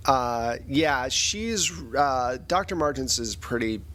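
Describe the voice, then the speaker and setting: A man narrating a tabletop game as game master, improvising in a halting way as he thinks aloud. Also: medium pitch, animated, fairly bright tone.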